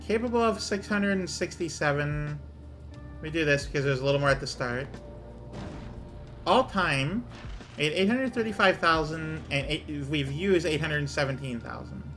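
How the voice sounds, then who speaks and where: Male streamer, bright, slightly raised voice, hoarse, murmuring stats as he plays; faint music behind. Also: a little high, lively intonation, normal speed. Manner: muttering numbers while he plays, thinking aloud. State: losing his voice. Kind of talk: casual gameplay commentary.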